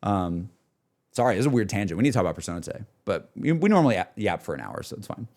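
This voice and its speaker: A man's voice: fairly low pitch, big pitch swings, very fast, a little mellow. A young adult man chatting casually on a livestream, rambling enthusiastically about gaming in a self-deprecating way. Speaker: streamer.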